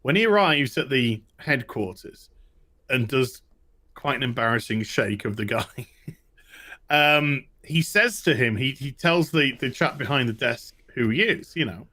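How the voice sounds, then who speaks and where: A man's voice: mid-range voice, lively intonation, measured pace, pushed and bright. A British man co-hosting a TV review show, telling things in a casual, rambling way. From United Kingdom. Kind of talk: casual recap chat.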